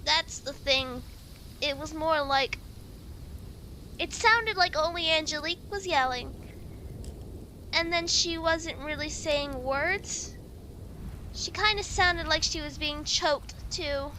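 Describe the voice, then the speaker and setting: A woman acts as a frightened young girl witness, high-voiced and slow. She gives her account hesitantly and guiltily in a puppet show drama, with faint noise behind her. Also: bright, forceful tone, exaggerated voice. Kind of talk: amateur puppet show acting.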